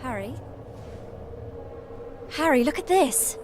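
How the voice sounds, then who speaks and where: A woman's voice: high-pitched, expressive, takes it slowly, a little mellow, a little hiss or hum. A woman voicing an anxious, clever teenage girl witch in a video game, earnest. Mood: worried. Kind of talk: dramatic video game dialogue.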